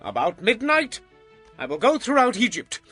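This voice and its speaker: An actor playing Moses as a bold, defiant Hebrew prophet in a dramatized audio Bible, speaking emphatically. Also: male voice, high-pitched, wildly swooping pitch, normal speed, sharp tone, slightly rough, faint music behind, full character voice. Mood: solemn pronouncement. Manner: declamatory, emphatic.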